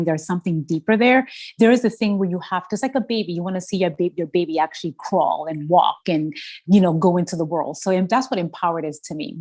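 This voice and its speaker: Earnest lady founder talking on a podcast, strong voice, slightly low pitched. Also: darkish tone. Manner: earnest and passionate. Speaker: fragrance founder, podcast guest. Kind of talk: podcast interview answers.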